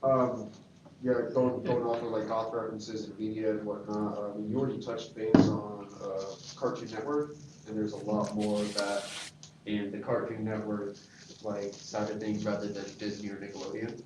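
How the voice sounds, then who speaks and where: A man with a lowish voice talks casually and a little haltingly in a group, politely qualifying his points. He sounds far from the mic in a very reverberant room, with clear noise. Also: flat delivery, highs cut off. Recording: very echoey, distant, noisy room.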